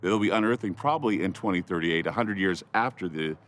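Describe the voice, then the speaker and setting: A man's voice: sits low, fast talker. A man giving informal explanatory commentary for a documentary.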